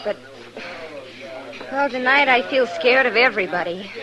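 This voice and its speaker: Timid, high-voiced frontier girl played by a woman. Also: moderate pace, muffled recording, a crowd in the background, lightly acted. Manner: meek, hesitant. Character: timid, frightened seventeen-year-old frontier girl. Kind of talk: 1950s western radio drama acting.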